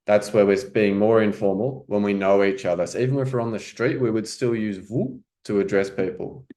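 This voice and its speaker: A man's voice: on the low side, steady tone, a little fast, mellow. A man coaching French, explaining informally and checking in with "right?" and "okay?". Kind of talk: casual teaching commentary.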